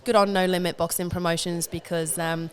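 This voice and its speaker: A woman's voice: lowish voice, level tone, brisk. A woman in her thirties from England with an English accent, chatting upbeat as an interview guest. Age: 30s. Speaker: guest, boxer. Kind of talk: casual interview chat.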